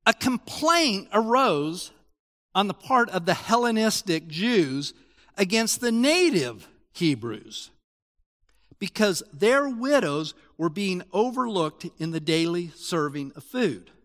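Pastor, male, high and lively voice, casual restart-filled preaching, echoey hall. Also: takes it slowly. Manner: animated, conversational preaching with restarts. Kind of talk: sermon.

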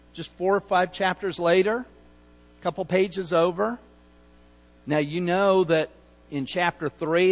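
A middle-aged man with a light Texas accent, originally from Utah, preaching a sermon with emphatic delivery, folksy asides and repeated words. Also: sits high. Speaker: pastor. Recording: long hall reverb.

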